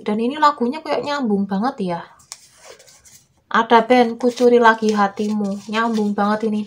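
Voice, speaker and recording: Hoarse woman giving a gentle tarot reading. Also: mid-range voice. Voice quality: weak, small voice. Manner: soft, reassuring, drawn-out. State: hoarse from a cold. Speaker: tarot reader.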